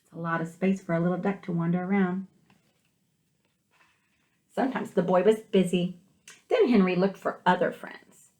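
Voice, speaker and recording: A woman teacher reading a picture book aloud to young children, expressively, with gentle questioning asides to the listeners. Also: fairly low pitch, fairly even intonation, moderate pace, pauses often.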